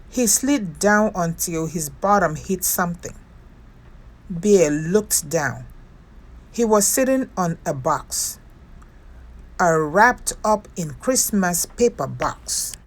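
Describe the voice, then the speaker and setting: A woman hosting storytime, narrating a children's picture book with expressive, deliberate phrasing for young listeners. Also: on the low side. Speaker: storytime host and reader.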